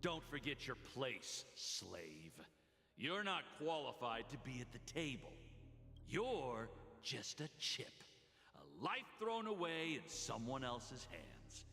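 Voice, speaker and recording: A cruel male gambler from a game story, bright and forceful. He taunts theatrically, with big swoops in pitch and endings that can sink away. His contempt is smug and unhurried. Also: wildly swooping pitch, heavily acted. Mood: contemptuous, menacing. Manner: taunting, theatrical, drawn-out delivery with whispered endings. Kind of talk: dramatic video game voice acting. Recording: long hall reverb, music behind. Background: music.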